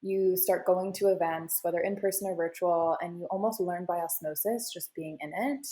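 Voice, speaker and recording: Flat, low-voiced woman giving advice. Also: moderate pace. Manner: confident advice-giving, many "you know" fillers. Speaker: guest, crypto media founder. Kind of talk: casual interview answers.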